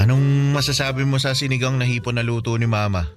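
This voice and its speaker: A man's voice: medium pitch, fairly even intonation, fast talker, over music, lightly acted. A man acting an upbeat young student, a proud son, in a Tagalog radio drama. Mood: proud, teasing.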